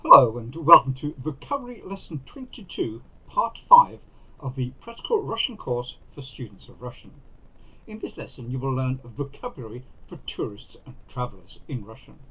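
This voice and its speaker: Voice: medium pitch, sing-song, normal speed, sharp tone, husky. A narrator reading a scripted language lesson, giving each prompt phrase on its own like an announcer. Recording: dull lo-fi recording.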